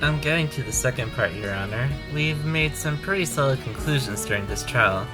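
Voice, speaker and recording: An earnest defense attorney, played by a man, makes his case in a courtroom audio drama. He argues rhetorically and with determination in a middle pitch, over clear background music. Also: normal speed, lightly acted. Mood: insistent. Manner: rhetorical, emphatic arguing.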